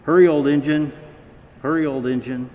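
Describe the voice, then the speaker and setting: A man's voice: fairly even intonation, normal speed, a little mellow, lightly acted. A plucky old firefighter as a man reads him from a storybook speaks with hearty determination. The sound is echoey and slightly dull. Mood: urgent, rallying. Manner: hearty, rallying storybook delivery. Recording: echoey hall, dull sound, faint rumbling noise.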